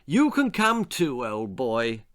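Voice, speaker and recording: A man's voice: mid-range voice, huge pitch swings, slow pace, fairly bright tone, full character voice. A man voicing an eager, polite schoolboy from an old-fashioned children's adventure story, jolly and full of exclamations. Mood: excited. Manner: jolly, plummy 1940s slang, exclaiming.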